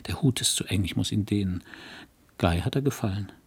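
A man reads a dreamy young wife who doubts herself, her thoughts spoken in a husky half-whisper. The voice sits low and breathy. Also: a little fast, heavily acted. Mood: hushed brooding. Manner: brooding inner monologue, partly whispered. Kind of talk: audiobook reading.

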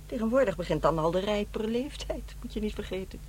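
Radio play role: no-nonsense ex-wife in middle age, played by an actress, dry and guarded, average pitch, noisy humming recording. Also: female voice, lively intonation, brisk, a little mellow, natural voice. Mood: blunt, defensive. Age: middle-aged. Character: blunt, matter-of-fact divorced mother in middle age. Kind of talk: Dutch radio drama acting.

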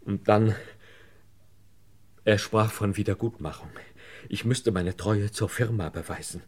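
An actor playing a desperate, broken man under police questioning in a radio crime play, halting and pleading. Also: on the low side, husky. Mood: anxious, confessing. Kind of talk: German radio crime play acting, 1950s to 80s.

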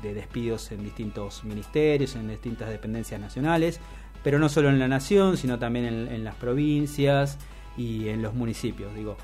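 Halting Argentine radio man over music. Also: medium pitch, a little mellow. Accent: Argentine Spanish. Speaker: radio co-host. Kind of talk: news commentary on radio. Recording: music playing behind the voice.

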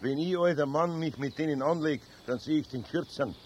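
An actor playing a grumbling but caring old Bavarian man in a children's radio play, with a homely, expressive Bavarian manner of speech. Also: a little high, brisk, highs cut off, natural voice. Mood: resigned, annoyed. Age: older. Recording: noisy 1970s radio play recording.

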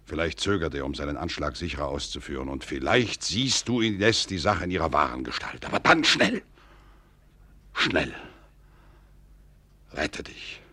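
Cautious aristocrat, very deep husky voice, solemn pleading delivery, 1940s radio play, dry studio. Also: male voice, expressive, sharp tone, dry recording, exaggerated voice. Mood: grave foreboding, then sorrowful pleading. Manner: solemn, measured, pleading, declamatory. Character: grave, cautious older nobleman urging his friend to flee. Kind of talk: 1940s German radio drama acting.

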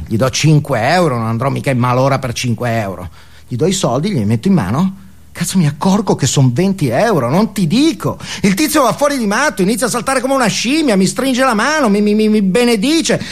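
Agitated, self-righteous family man, comic theatre monologue. High-pitched, wildly animated delivery, foul-mouthed, nags for agreement. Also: heavily acted. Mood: indignant. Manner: agitated, swearing, punctuates with "no?" and "eh?". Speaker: stage actor performing a monologue.

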